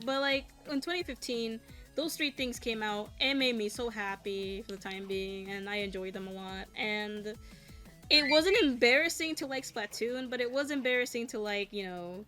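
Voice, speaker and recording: A woman's voice: mid-range voice, fairly bright tone. A woman in her late twenties talking casually and frankly while she plays a game. She vents with a lot of swearing and nervous laughter. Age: 20s. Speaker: content creator. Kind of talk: casual candid talk while gaming.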